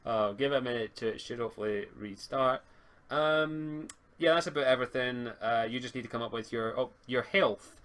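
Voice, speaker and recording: A man speaking casually as game master of a tabletop roleplaying game, halting and repeating words as he thinks. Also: medium pitch, a bit bright. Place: home online voice call stream.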